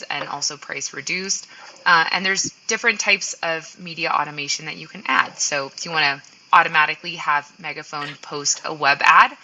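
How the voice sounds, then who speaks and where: A woman giving an upbeat, explanatory software walkthrough in a live webinar. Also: low voice, low-quality recording. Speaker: software presenter.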